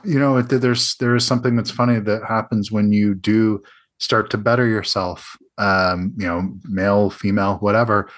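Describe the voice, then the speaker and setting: A man's voice: fairly low pitch, steady tone, moderate pace. A man interviewing a filmmaker in a thoughtful, reflective way, often restarting his sentences. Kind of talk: conversational interview.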